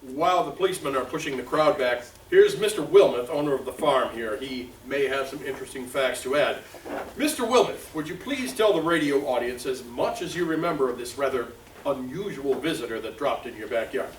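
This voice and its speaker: A man playing an awed, eager 1930s radio field reporter, halting as he describes things in a stage drama. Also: medium pitch, close to an everyday voice. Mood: prodding. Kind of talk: 1930s radio commentary acting. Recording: noisy, distant, echoey stage recording.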